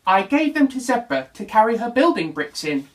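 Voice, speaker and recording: Man putting on a high, lively rabbit. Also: moderate pace. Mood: explaining. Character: lively, daredevil male rabbit. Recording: voice distant from the mic.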